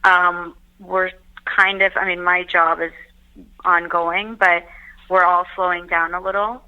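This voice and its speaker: A woman from Turkey, chatting as a guest over a video call, upbeat and quick to laugh. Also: fairly low pitch, level tone, clear, resonant tone. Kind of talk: casual chat over a call. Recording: tinny phone line.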